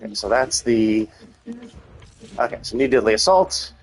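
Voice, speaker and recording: A man giving casual, unscripted gameplay commentary on a live stream, rambling and thinking out loud in a husky voice. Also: medium pitch, expressive, moderate pace, faint music behind, low-quality recording.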